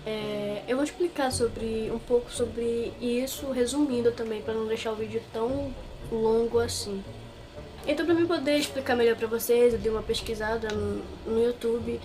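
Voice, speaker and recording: A woman's voice: fairly high pitch, normal speed, poor audio. A young woman from Brazil with a Brazilian Portuguese accent, telling a personal story to her viewers in a chatty, rambling way full of fillers. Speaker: vlogger. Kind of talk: casual personal storytelling to camera. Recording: clear background music under the voice.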